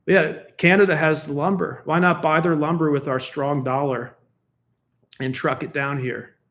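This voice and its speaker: A man's voice: fairly high pitch, fairly even intonation, moderate pace, a bit bright. A man from the United States giving a defensive, argumentative solo commentary, talking casually and laughing between points. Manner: conversational with fillers and restarts. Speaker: political commentator.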